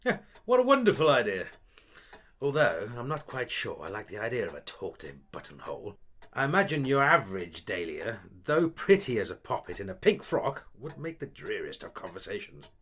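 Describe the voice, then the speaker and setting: A man's voice: fairly low pitch, sing-song, moderate pace, a bit bright, breathy edge, heavily acted. A man playing a small, fussy, shrew-like alien actor in an audio drama, declaiming in a florid, plummy, theatrical manner. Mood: haughty, curious. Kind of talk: scripted audio drama acting.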